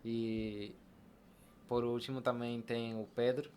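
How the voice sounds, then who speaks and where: A young Brazilian man speaks as a podcast guest in a medium-pitched voice. He recounts personal experiences casually, slipping into quoted dialogue as he goes. There is noticeable background noise around him. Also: little pitch movement, takes it slowly, a little mellow. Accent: Brazilian Portuguese. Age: young adult. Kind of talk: casual personal storytelling in an interview.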